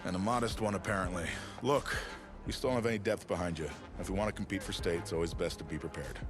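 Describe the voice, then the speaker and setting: Man playing low-voiced, folksy football coach. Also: fast, music in the background, close to an everyday voice. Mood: wry. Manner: wry, folksy, encouraging. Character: folksy, encouraging male high school football coach. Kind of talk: game cutscene acting.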